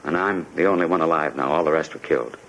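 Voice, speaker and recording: A man's voice: a bass voice, fast, a bit bright. An actor playing a desperate, bitter immigrant man haunted by his past, insistent and wrought up, in a 1950s radio drama. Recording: dull, noisy 1950s radio recording.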